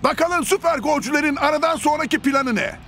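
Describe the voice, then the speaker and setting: Very high-pitched, over-excited male commentator. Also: a little fast. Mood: curious. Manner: exclaiming, theatrical. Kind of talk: cartoon sports commentary. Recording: loud music behind.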